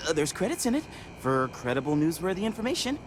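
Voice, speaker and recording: Awkward young intern, high voice, very lively, stammering, excitable, game dialogue. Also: male voice, quick pace, background noise. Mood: excited, awkward. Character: eager, awkward young male news research intern.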